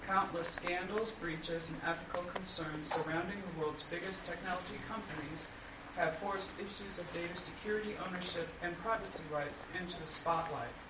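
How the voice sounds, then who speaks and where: A panelist speaking in a measured, deliberate way at a roundtable discussion. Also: female voice, fairly low pitch. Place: conference room. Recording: echoey room, distant mic, loud background noise.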